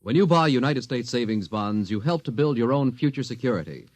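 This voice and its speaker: A man's voice: medium pitch, animated, fairly quick, no echo. A man reading a 1950s radio public service announcement in an earnest, persuasive way. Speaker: commercial announcer. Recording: dry old 1950s radio broadcast.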